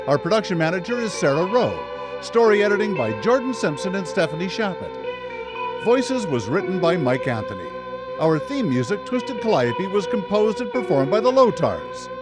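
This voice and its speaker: A man announcing scripted radio drama credits in a theatrical manner. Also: high-pitched. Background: music.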